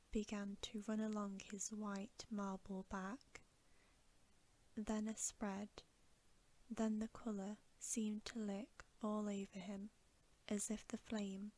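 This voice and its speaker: Female ASMR reader for bedtime stories: hushed, gentle whisper, very slow pacing, even intonation. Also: mid-range voice. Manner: soft, gentle, hushed and unhurried.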